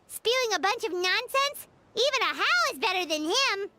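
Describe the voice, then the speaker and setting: Casting: tiny floating girl sidekick, extremely high and squeaky, swooping wildly, indignant and scrappy, game style. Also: animated, moderate pace, sharp tone, full character voice. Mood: grumbling. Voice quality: squeaky, childlike. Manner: refers to self in third person, scrappy. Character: small excitable childlike floating girl companion, indignant, sassy. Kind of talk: video game voice acting.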